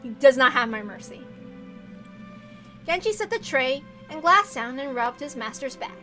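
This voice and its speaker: A woman's voice: sits high, expressive, normal speed, bright, forceful tone, soft background music. A woman reading a visual novel aloud expressively, with casual, blunt and sweary commentary. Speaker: visual novel reader and narrator.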